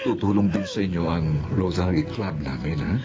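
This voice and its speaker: A man's voice: deep voice, normal speed, over loud music, natural voice. A man with a Filipino accent playing a jovial, kind middle-aged former classmate in a radio drama. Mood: kindly. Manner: jovial, animated. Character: jovial, big-bellied Filipino old classmate, kind and helpful. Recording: dull lo-fi radio drama audio.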